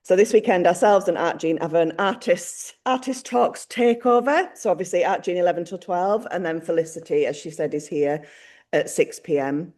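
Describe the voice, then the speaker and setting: A woman's voice: on the low side, moderate pace, darkish tone. A woman presenting upcoming events informally, chatty and playful with a self-deprecating touch. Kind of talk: informal live event update.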